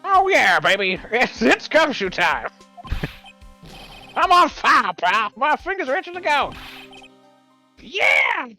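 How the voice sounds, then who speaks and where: A performer voicing a scruffy, eager, bumbling male police detective with a folksy, excitable manner in a live game fan dub. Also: high-pitched, slow pace, pauses often, full character voice. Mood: fired-up. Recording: music behind the voice.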